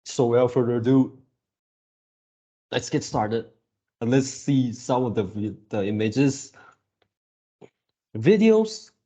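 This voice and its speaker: A man in his early twenties talking casually to viewers as a channel host, in a halting way, often repeating and rephrasing himself. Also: medium pitch, animated, warm tone. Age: early 20s. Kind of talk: casual reaction video talk to viewers.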